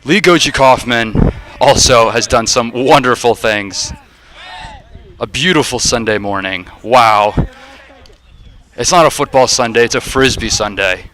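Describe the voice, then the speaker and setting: A young man with a deep, slightly groggy voice, commentating a frisbee game on a livestream and getting excited on big plays. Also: a little slow. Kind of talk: play-by-play sports commentary. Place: outdoors at a frisbee field. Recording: noisy outdoor field recording.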